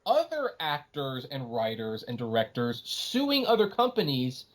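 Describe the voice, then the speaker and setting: A man's voice: medium pitch, huge pitch swings, measured pace. A man hosting a podcast, giving his opinions casually and emphatically, often acting out what other people say. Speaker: podcast host.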